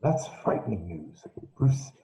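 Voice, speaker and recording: A man voicing a worried older police commissioner with a gentle, fretful manner, in a roomy echoey room. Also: lowish voice, big pitch swings, a little slow, vocal fry, heavily acted.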